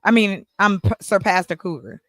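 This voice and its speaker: A woman's voice: medium pitch, very animated, moderate pace, a bit bright, no room sound. Blunt, bold woman in her forties. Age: 40s. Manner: blunt, bold and playful, teasing the men. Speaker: host. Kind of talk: casual group chat on a livestream.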